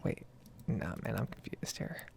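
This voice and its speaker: A woman's voice: a little slow, a little mellow, slight background noise. A young American woman in her twenties giving a rambling, casually sweary ASMR gameplay commentary close to the microphone. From United States. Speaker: gaming video creator.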